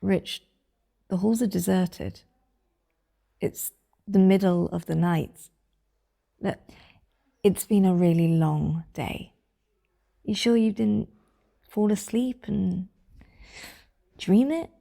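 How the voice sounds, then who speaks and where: A woman's voice: medium pitch, takes it slowly, creaky, long pauses, warm tone. A woman playing a protective, bossy older sister in a naturalistic audio drama, firm and insistent. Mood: concerned, weary.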